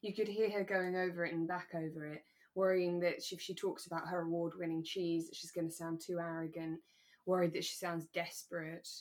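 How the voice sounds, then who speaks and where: A woman's voice: on the low side, flat delivery, a little fast, darkish tone. Chatty British woman podcast co-host. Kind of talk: relaxed casual chat about a radio drama.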